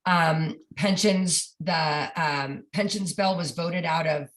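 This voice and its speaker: A woman with a stuffy, congested voice, giving a steady update over a video call during a board meeting. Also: low voice, takes it slowly. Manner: steady, informative, with some fillers. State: stuffy, getting over a cold. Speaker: legislative update presenter.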